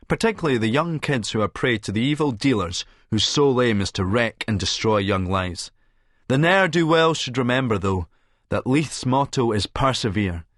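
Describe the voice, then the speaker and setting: An actor with a Scottish accent narrating an audiobook as a cynical, vain Edinburgh publican, smug, sardonic and wordy. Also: male voice, mid-range voice, no echo, studio quality, close to an everyday voice. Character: cynical, vain male Edinburgh publican and schemer, smugly self-satisfied. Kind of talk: first-person audiobook narration in character.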